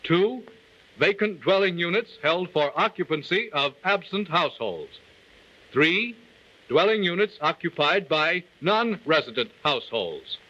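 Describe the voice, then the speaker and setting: Male narrator, vintage government training film: forceful, bright, fairly high voice, formal declamatory read, muffled old soundtrack. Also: expressive, unhurried. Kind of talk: 1940s scripted instructional narration. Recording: dull, lo-fi 1940 film soundtrack.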